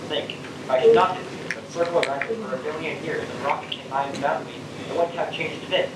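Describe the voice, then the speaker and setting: A man's voice: fairly even intonation, quick pace, lightly acted. A young man on a school stage playing a morbidly fascinated young astronaut, with theatrical delivery. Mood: morbid wonder. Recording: echoey stage, distant mic, loud rumbling noise.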